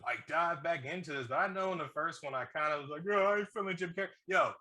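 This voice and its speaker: A man co-hosting a casual movie review, talking in an animated, slangy way with plenty of swearing. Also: fairly high pitch, fast talker. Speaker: podcast co-host reviewing films.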